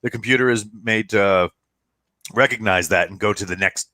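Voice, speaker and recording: A man's voice: on the low side, moderate pace, sharp tone, no room sound. A man chatting casually as a co-host on a podcast.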